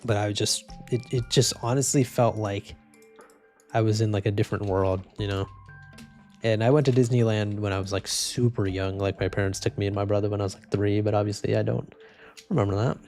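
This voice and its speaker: Young man, lowish voice, flat and laid-back, slightly congested, faint game music and typing behind. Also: fairly quick. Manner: flat, low-energy, self-described boring voice. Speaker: streamer reading chat. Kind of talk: casual gaming livestream chat. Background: faint game music and keyboard typing.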